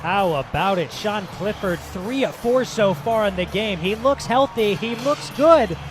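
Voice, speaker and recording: A man's voice: high-pitched, lively intonation, unhurried, music in the background. An American man working as a color commentator, giving conversational, opinionated football analysis between plays.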